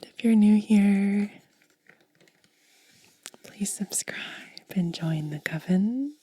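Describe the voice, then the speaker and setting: A woman hosting ASMR, speaking softly in a breathy, hushed whisper and lingering over short phrases. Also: medium pitch, very slow, dry recording. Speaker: ASMR video host. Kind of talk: soft-spoken ASMR.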